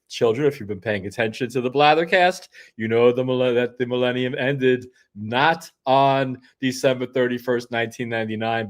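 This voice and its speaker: Older man, sniffly, stuffed-up voice, rambling solo commentary, mid pitch. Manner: rambling, with frequent restarts and asides. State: sniffly from a cold. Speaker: host. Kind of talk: casual solo livestream commentary.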